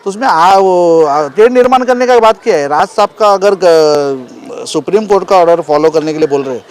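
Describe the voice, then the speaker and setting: A man's voice: high voice, normal speed, clean tone. A man, a local party leader, answering a news interview insistently and arguing with rhetorical questions. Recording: outdoor street noise, passing vehicles.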